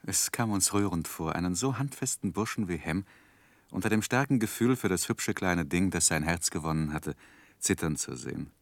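An actor playing a reflective gentleman narrator recalling his youth in a 1960s radio play, measured and literary. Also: sits low, expressive, vocal fry, dry recording.

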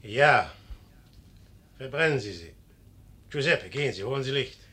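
An actor in a 1950s radio play as a proud, irascible young general with a curt, commanding manner. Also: male voice, medium pitch, animated, measured pace, pushed and bright.

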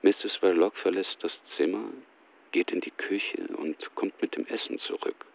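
A man's voice: deep voice, fairly even intonation, a little slow, creaky, highs cut off, a little hiss or hum. A man reading scripted scene narration for a radio play in a matter-of-fact, restrained way.

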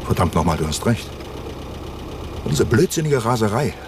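A brusque, commanding police commissioner in an old radio crime play. His fairly low voice moves up and down a lot. A steady car noise runs clearly behind him. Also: normal speed. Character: gruff middle-aged police commissioner. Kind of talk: 1970s radio drama acting.

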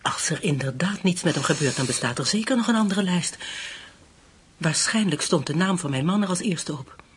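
An actress playing an anxious but determined German widow in a radio drama, with a breathy, husky voice. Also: female voice, on the low side, expressive, normal speed. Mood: worried.

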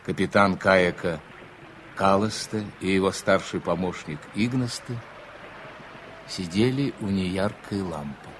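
A middle-aged man narrates in a slow, deliberate, solemn way, pacing each phrase. His voice sits a little low, with a faint hiss beneath it. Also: poor audio. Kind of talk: scripted radio drama narration.

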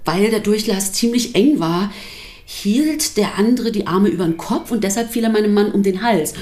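An actress as a wry, storytelling pastor's wife. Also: female voice, mid-range voice, moderate pace, darkish tone, echoey room, natural voice. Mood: dry, deliberate. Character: pastor's wife, wryly recounting a strange heavenly visitation. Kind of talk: radio drama testimony.

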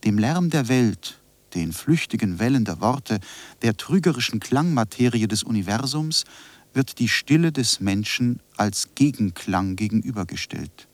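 A man reciting philosophical, poetic text in a radio play in a solemn, deliberate manner. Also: lowish voice, lively intonation, no echo.

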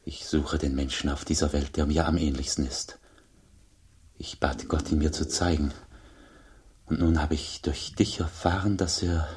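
An actor playing a revered, pious old monk in a German radio play, solemn and measured, with a deep gravelly, breathy voice. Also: male voice, darkish tone, heavily acted. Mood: grave, humble. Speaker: actor and first-person narrator.

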